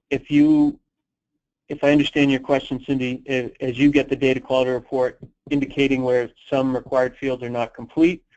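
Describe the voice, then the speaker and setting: A man presenting on a conference call, answering questions in an unscripted, halting, explanatory way. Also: medium pitch, a little mellow. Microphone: telephone conference call. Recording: dull, lo-fi conference call line.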